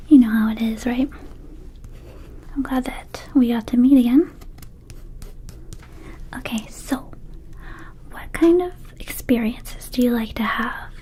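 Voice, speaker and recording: Lady doing a scatterbrained vampire shop girl, asmr roleplay, high mellow whisper, casual and rambly. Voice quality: soft, breathy whisper. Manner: hesitant, rambling, slow and casual. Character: friendly scatterbrained young female vampire herb dispensary clerk. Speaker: ASMR performer.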